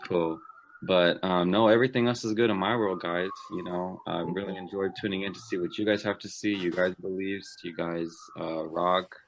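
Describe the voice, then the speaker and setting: A man's voice: sits low, fairly even intonation, moderate pace, a little hiss or hum, low-quality recording. A man in his twenties from Texas, chatting cheerfully as a guest on a laptop video call. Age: 20s. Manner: upbeat, chatty, with soft laughs. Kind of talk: casual video-call chat.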